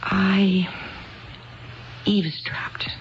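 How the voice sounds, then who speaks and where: An actress playing a tipsy, neglected housewife who rambles and confides hesitantly, in a 1970s radio drama style. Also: female voice, medium pitch, steady tone, very slow. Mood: sheepish confessing. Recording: dull 1970s radio broadcast recording.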